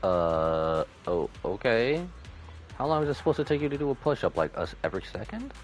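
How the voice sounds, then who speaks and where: A man's voice: lowish voice, big pitch swings, moderate pace, soft background music. A man doing casual, playful commentary as he streams a video game, often exclaiming. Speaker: gaming streamer. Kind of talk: casual playthrough commentary, reading game dialogue aloud.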